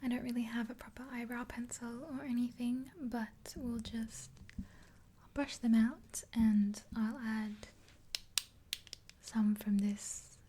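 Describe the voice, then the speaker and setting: Female, twenties, for whispered ASMR. Soft, breathy and dark-toned, on the higher side, speaking intimately close to the mic. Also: a little hiss or hum. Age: 20s. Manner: soft, gentle, unhurried. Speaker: ASMR video creator. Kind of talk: ASMR roleplay, whispered makeover with rambling chat. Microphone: close to the mic, facing camera.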